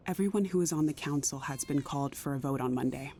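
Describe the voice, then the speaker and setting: Actress as grave, principled female official; lowish voice, stubborn, understated drama, rumbly noisy background. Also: fast talker, lightly acted. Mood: grave, pained. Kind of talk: naturalistic audio drama acting.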